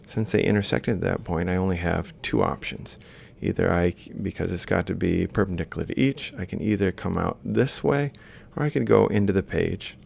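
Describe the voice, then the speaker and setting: A man's voice: sits low, a little fast, creaky, few pauses, darkish tone, a little hiss or hum. A man lecturing informally, thinking aloud as he explains step by step while drawing. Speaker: robotics lecturer.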